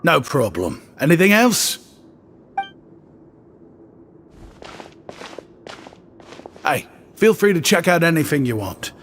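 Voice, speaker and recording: An actor playing a friendly, ingratiating young shopkeeper in a video game, chatty and easygoing. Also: male voice, a little high, sing-song, normal speed, fairly bright tone, big-room sound, a little hiss or hum. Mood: flattering.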